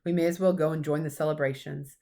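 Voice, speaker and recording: A woman voicing a wise, calm old wizard headmaster, grave but kindly, in a storybook reading. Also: deep voice, fast talker. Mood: brisk, resolved.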